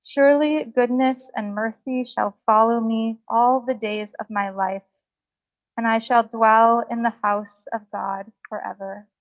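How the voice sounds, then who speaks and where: A woman officiating at a funeral, reciting scripture in measured, reverent phrases. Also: a little high, fairly even intonation, no echo, muffled recording.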